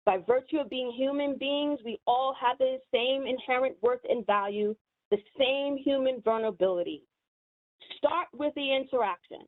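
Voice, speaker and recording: Woman hosting, earnest and slow in places, sounds like a phone line. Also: fairly high pitch. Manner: earnest and deliberate. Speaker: event host. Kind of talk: prepared remarks introducing speakers.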